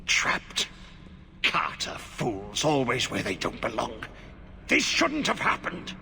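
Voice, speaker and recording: Half-mad tainted wretch, fantasy game, swooping pitch, sharp gravelly edge, halting mutter, cavernous reverb. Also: male voice, huge pitch swings, exaggerated voice. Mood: muttering, distracted. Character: unhinged, half-corrupted ghoul man, fearful and pleading. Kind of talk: dramatic fantasy game acting. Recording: long cavernous reverb, background noise.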